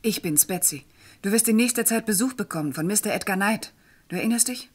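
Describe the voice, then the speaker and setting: Cool shrewd businesswoman in a radio crime drama, talks quick, composed, very matter-of-fact. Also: medium pitch, expressive, slightly rough. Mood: cool, conspiratorial. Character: cool, shrewd, self-assured businesswoman with secrets.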